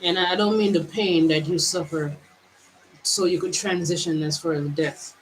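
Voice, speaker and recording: A woman from Jamaica, a spiritual vlogger, musing aloud to her livestream viewers in halting phrases, with many restarts and drawn-out words. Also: lowish voice, steady tone, poor audio. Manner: halting, with frequent restarts and stretched, slowed phrases. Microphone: camera mic.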